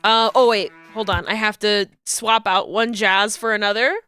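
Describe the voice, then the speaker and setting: A woman's voice: mid-range voice, fairly even intonation, normal speed, pushed and bright, clear, resonant tone, faint music behind. A woman streamer with a cold, sounding congested, chatting casually and rambling before playing a game. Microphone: desk microphone.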